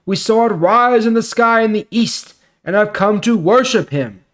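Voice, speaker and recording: A man's voice: high voice, normal speed, a bit bright, voice pushed up. A man reading aloud the words of eager, reverent wise men from the east, in a raised, emphatic voice.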